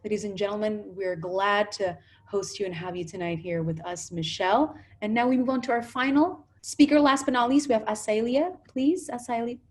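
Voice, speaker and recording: A woman's voice: mid-range voice, a little fast, few pauses, a little mellow. Upbeat woman hosting a video call panel. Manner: upbeat, filler-heavy with "you know" and "right?". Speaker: host.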